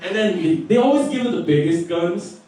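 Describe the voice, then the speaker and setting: A man's voice: high-pitched, expressive, brisk, dark tone. A young man with a Filipino accent doing a profane stand-up set, laughing at his own jokes. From Philippines. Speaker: stand-up comedian. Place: small record store stage. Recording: roomy, distant live recording.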